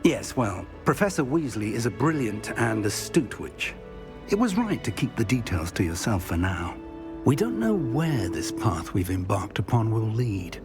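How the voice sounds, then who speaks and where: An actor playing a courteous older British wizard professor in a video game, speaking in a formal, measured, articulate way. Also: male voice, fairly low pitch, very animated, music in the background. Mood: courteous, instructive. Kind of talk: video game cutscene acting.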